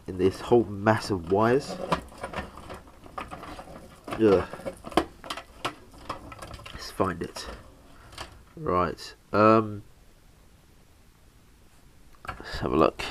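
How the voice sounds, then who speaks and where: A young man with a slight cold, narrating a PC hardware install in a halting, casual way with lots of fillers. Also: low-pitched, a little hiss or hum. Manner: halting, many fillers, talks while working. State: has a cold, slightly bunged up. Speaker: PC hobbyist showing a hardware install.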